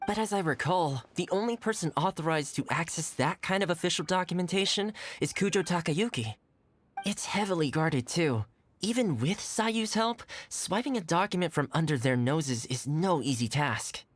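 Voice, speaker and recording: An actor playing a friendly, easygoing young man in hiding, chatty and relaxed in a game cutscene. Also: a little high, moderate pace, slight background noise, close to an everyday voice.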